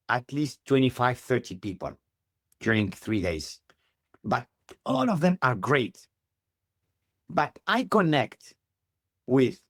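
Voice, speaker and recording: Man, middle-aged, halting upbeat English, dry close sound. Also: medium pitch, dry recording. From Tunisia. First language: Arabic. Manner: halting non-native English, earnest and upbeat. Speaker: guest. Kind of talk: interview answers.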